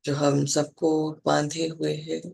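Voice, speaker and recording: An Indian meditation guide speaking calmly and soothingly in short, measured phrases with pauses between them. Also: mid-range voice, creaky, husky.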